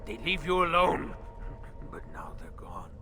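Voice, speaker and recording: An actor playing a half-mad, frightened scavenger hermit in a video game, stammering, muttering and repeating himself in broken speech. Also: male voice, lowish voice, very animated, a little slow, over loud music. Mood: cringing, haunted. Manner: stammering, repetitive, muttering in broken third person. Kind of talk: video game character acting.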